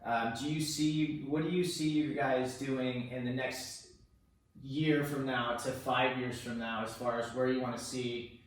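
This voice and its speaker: A man's voice: mid-range voice, steady tone, unhurried. A man interviewing guests in a loose, chatty way, with plenty of filler words. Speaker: real estate agent, interviewer. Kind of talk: casual interview. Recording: echoey room from far off.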